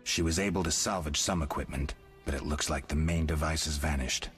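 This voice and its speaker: An actor playing a gruff, guarded monster hunter in a video game, speaking in terse, deadpan lines. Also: male voice, rumbling low, a little fast, soft background music. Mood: stoic, terse.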